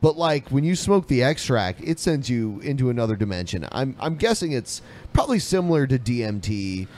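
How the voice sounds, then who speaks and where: A man's voice: medium pitch, sing-song, brisk, darkish tone, slight background noise. A man co-hosting a podcast, chatting casually in a rambling way. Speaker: podcast co-host. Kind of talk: casual chat.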